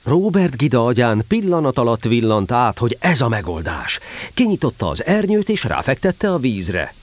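A man narrating a scripted children's story in an expressive storytelling manner. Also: mid-range voice, dry recording.

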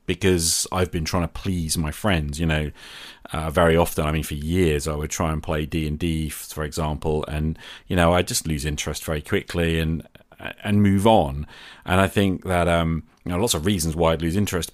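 A man hosting a podcast, speaking reflectively in deliberate short phrases and posing rhetorical questions. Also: a bass voice, steady tone. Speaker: podcast host. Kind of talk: reflective solo podcast monologue.

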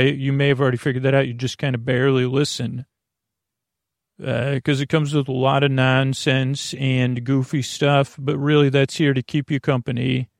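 A man with a creaky, gravelly voice delivers a meandering monologue as a sleep podcast host. He drifts into tangents and breaks off his own sentences in a halting way. The recording is dry and close, with very little room sound. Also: medium pitch, darkish tone, dry recording. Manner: meandering, halting, full of tangents and self-interruptions.

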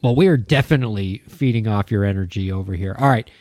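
A man's voice: lowish voice, fast, darkish tone, no echo. A man hosting a radio interview show, enthusiastic and effusive with his guest. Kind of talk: casual interview chat.

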